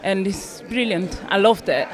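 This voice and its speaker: A woman's voice: mid-range voice, a little slow, a crowd in the background. A woman from a TV crew being interviewed casually by a colleague on a handheld microphone.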